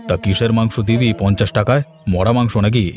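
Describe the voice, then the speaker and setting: A man performs an old village elder in a cartoon moral tale, pitched well down. He is genial and familiar, fond of teasing younger folk. Faint music plays behind him. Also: fairly even intonation, fast, lightly acted. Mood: genial, inquisitive. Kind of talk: Bengali cartoon story voice acting.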